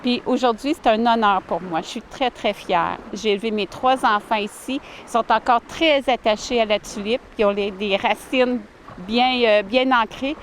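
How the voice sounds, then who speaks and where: A woman, answering interview questions warmly and earnestly outdoors by a lake, with many fillers and restarts. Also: a little high, normal speed, slight background noise. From Ottawa, Canada. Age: 40s. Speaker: village mayor, interviewee.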